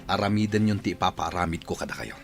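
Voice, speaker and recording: An actor with an Ilocano accent playing a bossy, scheming rich man who is quick to anger. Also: low voice, creaky, over music. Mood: scheming, angry. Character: scheming rich middle-aged male boss. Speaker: radio drama actor.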